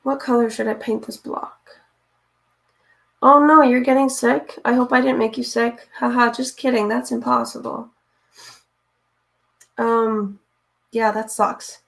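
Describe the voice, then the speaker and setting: A woman with a raspy, hoarse voice chats casually with viewers while she paints. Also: on the high side, fairly even intonation, measured pace, long pauses. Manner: chatty, talks to viewers while working, often unsure what to do next. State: hoarse from reading aloud. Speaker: streamer painting live.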